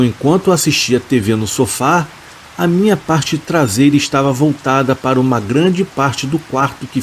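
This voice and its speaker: Guy reading scary stories slow and steady, mid pitch, light rain hiss behind. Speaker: horror story narrator. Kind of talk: scripted narration of horror stories. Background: rain sounds.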